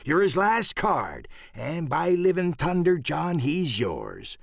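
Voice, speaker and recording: A man's voice: on the high side, wildly swooping pitch, slow, gravelly, no room sound, studio quality, pitched above the performer's own voice, full character voice. A man voicing a cunning, blustering old one-legged pirate sea cook in an audiobook, with rustic, emphatic sailor speech. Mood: urgent, conspiratorial. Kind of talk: dramatic audiobook character acting.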